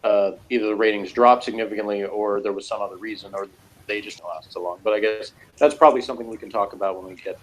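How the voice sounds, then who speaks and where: A man with a fairly low voice in casual conversation. He rambles a little and hesitates as he works through his thoughts, like a co-host on a discussion show. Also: steady tone, quick pace, a little hiss or hum, poor audio. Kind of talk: casual discussion of TV and personality types.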